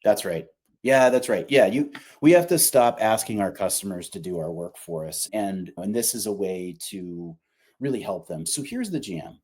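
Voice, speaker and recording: A man's voice: on the low side, lively intonation, normal speed, a little mellow, slightly rough. A man speaking as a company executive in a casual interview, emphatic, colloquial and opinionated. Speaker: company revenue executive, podcast guest.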